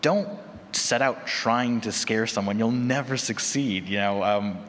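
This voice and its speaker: A man's voice: fairly low pitch, unhurried, a bit bright. A man speaking conversationally as moderator and podcaster at a live panel, often checking in with "you know" and "right?". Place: large conference room with audience. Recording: echoey hall with background noise.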